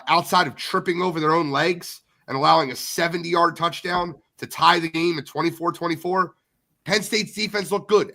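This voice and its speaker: A man's voice: fairly high pitch, gravelly, pushed and bright. A man hosting a sports podcast, blunt and opinionated, sounding congested from a cold. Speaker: podcast host.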